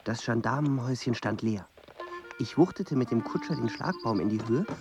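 A man's voice: mid-range voice, animated, moderate pace, over music, close to an everyday voice. A man acting a timid young student who narrates his travels with his father in a radio play, earnest in tone. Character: young male student son, hurt and timid.

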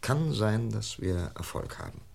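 An actor playing a calm, reassuring police inspector in a German radio crime play, measured and methodical. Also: male voice, on the low side, vocal fry, a little hiss or hum, full character voice. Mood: measured, explaining.

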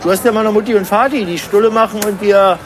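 A man's voice: high-pitched, fast talker, slight background noise, close to an everyday voice. An actor playing an indignant man out drinking with friends in a comedic radio play, rambling and stammering. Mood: mock-fatherly, sceptical. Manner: rambling, repetitive, stammering when worked up.

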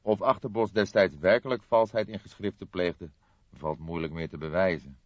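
A man voicing a calm, stubborn old police detective in an audiobook, weary and deliberate in his delivery. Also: deep voice, no room sound, highs cut off. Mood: resigned. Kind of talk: audiobook character dialogue.

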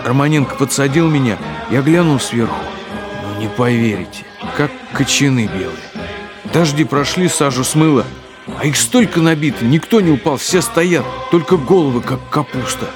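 An actor playing a soldier who insistently recounts a war memory, with music behind. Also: male voice, medium pitch, animated, normal speed, natural voice. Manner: insistent, storytelling. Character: male soldier telling a war story, eager to be believed. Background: music score.

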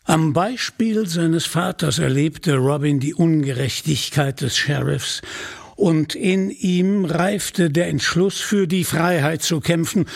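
A man narrating a scripted radio play in a measured, deliberate storytelling manner, with a husky voice. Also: on the high side.

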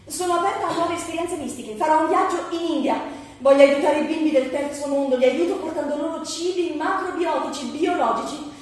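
A woman's voice: sits high, fairly quick, close to an everyday voice. An actress playing a manic woman selling herself in a satirical stage monologue, theatrical and emphatic, declaiming in short slogans in speech. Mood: eager, gushing. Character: manic, self-selling woman job applicant, shifting between personas. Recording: very echoey hall, distant mic, faint noise.

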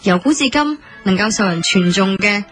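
A woman's voice: medium pitch, expressive, moderate pace, lightly acted. A woman acting in a radio drama with a Hong Kong Cantonese accent, playing a modest, articulate young student waitress who writes stories. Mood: earnest, thoughtful. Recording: music bed under the voice.

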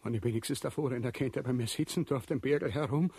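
A man's voice: medium pitch, fast talker, mellow, slight background noise, natural voice. An actor playing a cowardly, pompous, grumbling king in a farcical radio play, swearing and ranting in a Viennese Austrian accent. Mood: panicked. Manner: ranting, swearing, blustering with stammered repeats. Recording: music behind the voice.

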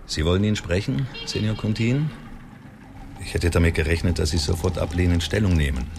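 Very deep-voiced, formal justice official. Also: male voice, vocal fry, background noise. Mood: sober, sceptical. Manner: measured, matter-of-fact. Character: sober, formal male justice official, sceptical. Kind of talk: radio drama dialogue.

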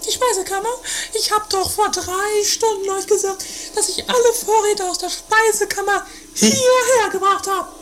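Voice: very high-pitched, steady tone, normal speed, fairly bright tone, a little hiss or hum. A performer playing a bossy, theatrical, quarrelsome woman, mocking and dramatic, in an improvised comedy radio play. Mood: sly, bossy. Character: bossy, theatrical, quarrelsome female castle-dweller, shrill.

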